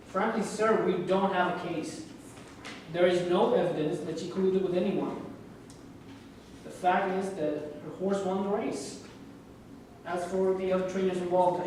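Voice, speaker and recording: A man's voice: sits high, close to an everyday voice. A man reading the part of a stern, businesslike racing investigator in a staged reading, blunt and formal. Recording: very echoey room, distant mic, noisy.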